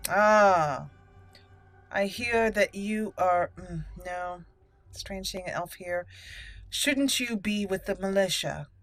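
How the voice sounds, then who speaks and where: A woman hosting a roleplay gaming video, mixing casual commentary with deliberate readings of her character's dialogue. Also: mid-range voice, fairly bright tone, no echo.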